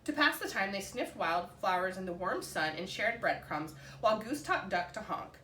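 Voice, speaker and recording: A woman narrates a picture book for children with expressive delivery. Her voice is bright and very lively in pitch, recorded in a roomy space with faint noise. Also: normal speed, far from the mic. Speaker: storytime reader.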